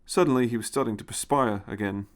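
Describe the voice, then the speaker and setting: A man's voice: mid-range voice, fast talker. A man narrating a scripted audio story.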